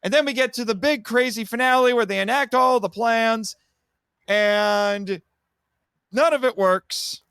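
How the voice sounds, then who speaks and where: A man giving an animated, casual plot recap as a podcast guest, telling it dramatically and acting out the characters' lines. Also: extremely high pitch, a little slow, clear, resonant tone, no room sound, studio quality. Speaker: podcast guest reviewing a film.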